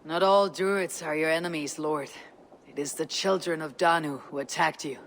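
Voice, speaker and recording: An actress playing a calm, loyal woman viking raider who speaks in a low-key, earnest way. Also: normal speed. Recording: game cutscene with loud background noise.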